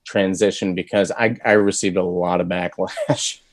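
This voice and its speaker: Male voice actor guest, low-pitched, dry sound, easygoing rambling talk. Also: steady tone, moderate pace, no room sound. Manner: rambling, thoughtful, many fillers and restarts. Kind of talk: casual interview chat.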